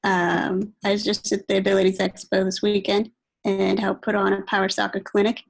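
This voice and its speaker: Tired woman, flat, even delivery. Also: normal speed, vocal fry. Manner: low-key.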